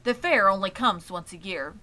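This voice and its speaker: A woman's voice: medium pitch, expressive, normal speed, bright, forceful tone. A woman voicing a practical, easygoing father in a storybook, speaking firmly and plainly. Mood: instructing. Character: practical, easygoing father advising his children.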